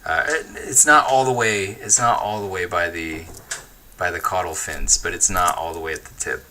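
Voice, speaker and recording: A man hosting a livestream, chatting casually and reading viewer comments in a relaxed, easygoing way, laughing often and thinking aloud with fillers. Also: low-pitched, normal speed, a little hiss or hum. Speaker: livestream host.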